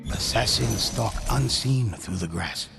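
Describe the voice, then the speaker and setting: A grave male narrator of legends in a game. His low, gravelly voice moves at a very slow, deliberate pace. Loud music plays under him. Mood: solemn. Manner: slow, grave, measured delivery.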